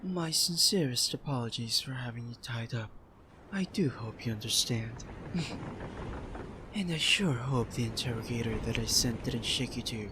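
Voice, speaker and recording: Man as suave, slow-talking teasing pirate captain. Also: mid-range voice, noisy background, lightly acted. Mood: courteous. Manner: smooth, courteous, playfully smug. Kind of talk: ASMR roleplay acting.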